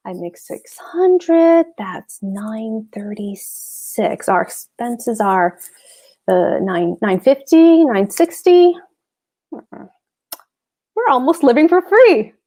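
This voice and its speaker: A woman's voice: on the high side, very animated, slow pace, warm tone, full character voice. A woman reading a smug, philandering married landlord in a play, speaking in a blunt, coaxing, suggestive way. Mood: calculating. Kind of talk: play table read.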